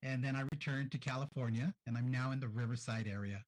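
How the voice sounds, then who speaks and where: A man's voice: mid-range voice, fairly even intonation, fast, poor audio. A man introducing himself on a panel in a friendly, plain-spoken way.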